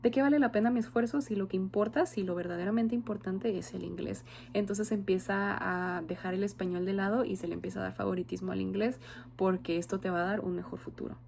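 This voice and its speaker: A young Mexican woman in her twenties gives a class presentation in a mellow, warm tone. She talks earnestly and thoughtfully, pausing on soft "em" and "am" fillers as she gathers her points. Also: mid-range voice, fairly even intonation, gravelly, slight background noise. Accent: Mexican Spanish. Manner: earnest, reflective, frequent "am" and "em" fillers. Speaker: university student.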